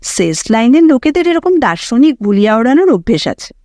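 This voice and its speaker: A woman narrating a story as an audiobook reader, in an expressive, dramatic way that brings out the characters' thoughts. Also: a little high, fairly quick, dry recording, clean studio sound.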